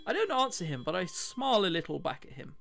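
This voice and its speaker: A man's voice: sits high, very animated, fast talker, creaky, a bit bright, faint music behind. A British man hosting a let's play, reading a visual novel aloud and adding chatty, jokey commentary. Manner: jokey, chatty asides between read passages.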